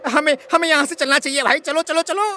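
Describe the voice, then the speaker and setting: Nervous villager for horror cartoon, female actor: high, piercing, disbelieving, stumbling over repeated words. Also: brisk, sharp tone. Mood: nervous urgency. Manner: stammering, repetitive, flustered. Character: alarmed, disbelieving villager leading a worried group. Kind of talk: Hindi horror cartoon story acting.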